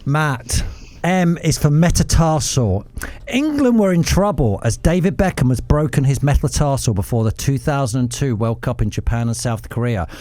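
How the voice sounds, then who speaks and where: Male quiz host, mellow tone, playful and mock-officious, trading banter between football questions. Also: medium pitch, animated, moderate pace, no echo.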